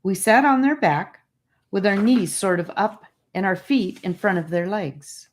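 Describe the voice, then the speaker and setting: A woman voicing a boastful, teasing young boy in an audiobook, speaking in an animated way. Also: medium pitch, unhurried, voice pushed up, close to an everyday voice. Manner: animated, bragging. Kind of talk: audiobook character voice.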